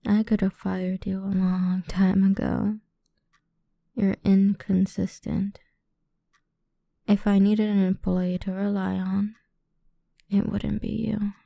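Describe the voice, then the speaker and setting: Woman acting a dark-voiced, slow, domineering female boss. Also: medium pitch, flat delivery, dry recording. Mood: scornful, cold. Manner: condescending, deliberate, drawn-out delivery with breathy whispers. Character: cruel, domineering female boss toying with an employee. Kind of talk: ASMR roleplay, half whispered, slow and close. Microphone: close microphone, dry.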